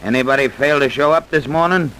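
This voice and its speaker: An actor playing a plain-spoken, sceptical police officer with folksy speech in an old radio drama. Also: male voice, medium pitch, fast, sharp tone, lightly acted, rough recording. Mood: sceptical, matter-of-fact. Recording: hissy old radio recording.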